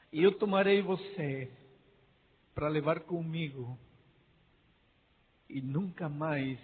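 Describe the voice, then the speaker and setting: A man's voice: on the high side, husky. A man with a Peruvian Spanish accent voicing Jesus as a solemn man comforting his followers in a sermon. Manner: solemn, rising to a shout. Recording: tinny lo-fi sound in a reverberant hall.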